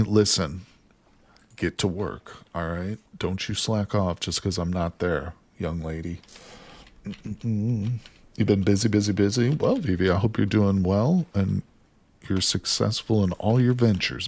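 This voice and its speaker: A man with a low, gravelly voice chats casually while he plays a survival game live. He greets viewers by name and jokes with them between tasks, typing now and then at a keyboard. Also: measured pace, darkish tone. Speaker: live streamer.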